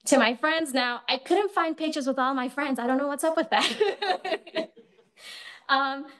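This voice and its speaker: Young kenyan woman thanking everyone at her defense, bit high voice, laughs a lot. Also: a little slow, fairly bright tone. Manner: grateful, laughs often between phrases. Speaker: PhD candidate. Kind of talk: thank-you speech at a thesis defense. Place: room with an audience.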